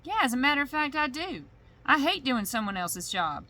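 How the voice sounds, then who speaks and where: A woman voicing a persistent, deadpan male investigator in a bedtime story reading. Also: high-pitched, normal speed, pitched above the performer's own voice, exaggerated voice. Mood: blunt. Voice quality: clipped, deadpan. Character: dry, persistent male investigator, friend of the victim.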